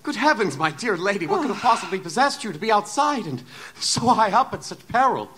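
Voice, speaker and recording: An actor playing a refined, anguished gentleman scientist in a Gothic audio drama, speaking in formal, wordy Victorian diction with hesitant stammers. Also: high-pitched, sing-song, a little fast, background noise. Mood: startled, indignant.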